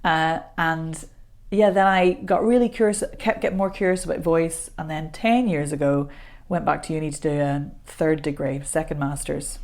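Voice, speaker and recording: Chatty woman, lowish voice. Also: normal speed, a little mellow. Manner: chatty and self-deprecating, laughs often. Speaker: voice coach guest. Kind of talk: casual podcast conversation.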